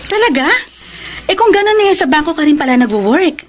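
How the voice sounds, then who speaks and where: A woman with a Filipino accent acting as an expressive female character in a radio drama. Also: high voice, a little fast, slight background noise. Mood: friendly, giggly.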